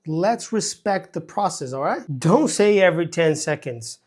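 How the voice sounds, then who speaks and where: A man teaching an online English lesson in a slow, emphatic way, with joking asides. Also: a little high, animated.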